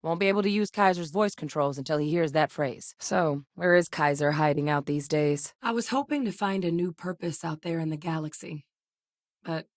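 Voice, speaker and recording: Low-voiced capable woman scientist soldier, acted by a woman. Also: dry recording, studio quality, close to an everyday voice. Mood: earnest, reflective. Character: capable, guarded military scientist woman, candid and dutiful. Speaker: video game voice actress. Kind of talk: video game character voice lines.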